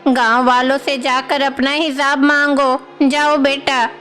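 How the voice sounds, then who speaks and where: A woman's voice: high-pitched, flat delivery, a little slow, a bit bright, faint music behind. A woman voicing a concerned village grandmother who scolds and questions as she advises, in a cartoon story. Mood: scolding concern. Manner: admonishing, questioning.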